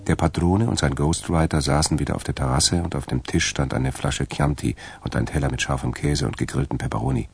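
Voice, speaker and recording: Very deep gravelly male narrator. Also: expressive, a little fast, hardly any pauses. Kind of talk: scripted narration of a crime radio play.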